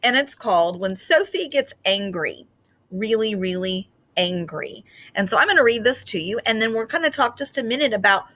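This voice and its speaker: A woman teacher explaining reading ideas to children in a gentle, patient way with frequent fillers, then reading a picture book aloud expressively. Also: fairly high pitch, animated, normal speed.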